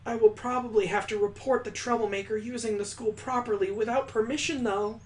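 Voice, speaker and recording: Man voicing an enigmatic, high-pitched older schoolgirl. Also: fairly even intonation, a little hiss or hum, close to an everyday voice. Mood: gently teasing. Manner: measured, formal, mysterious. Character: enigmatic, composed older schoolgirl, softly formal.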